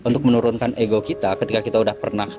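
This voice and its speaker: A middle-aged man, a forex trading mentor, talking in a relaxed, casual interview and explaining with everyday examples, dropping English words into his talk. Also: fairly low pitch, very fast. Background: background music.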